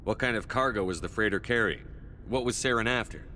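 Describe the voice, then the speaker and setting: An actor playing a confident, probing military commander in a video game. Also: male voice, lowish voice, brisk, sharp tone, long reverb tail, slight background noise. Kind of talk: video game character dialogue.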